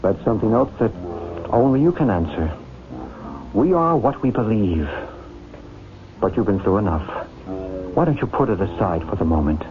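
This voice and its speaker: A man's voice: fairly low pitch, expressive, normal speed, long pauses, background noise, close to an everyday voice. Reassuring psychic healer man, tinny old radio actor. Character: psychic healer, calm, reassuring, sage male hypnotist. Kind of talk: radio drama acting.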